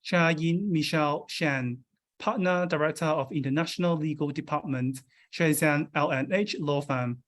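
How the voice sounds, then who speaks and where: A man emcees an awards ceremony with a polished, formal delivery. He reads out names and titles. His voice sits fairly high for a man. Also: moderate pace, fairly bright tone. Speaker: event emcee.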